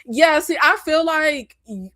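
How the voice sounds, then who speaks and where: Animated young Floridian woman, high and dry. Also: measured pace, no echo. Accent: Floridian Southern slang. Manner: animated, emphatic, jumps into high pitch when exasperated. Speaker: live stream host discussing movies. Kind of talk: casual live chat reading viewer comments.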